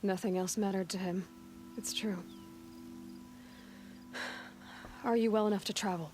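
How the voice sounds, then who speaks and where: A woman's voice: mid-range voice, fairly even intonation, brisk, darkish tone, lightly acted. A woman plays a young huntress from an action game. She is determined and direct, stern with compassion underneath, in a mid-range voice with music behind her. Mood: gentle, reassuring. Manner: direct, questioning, intense.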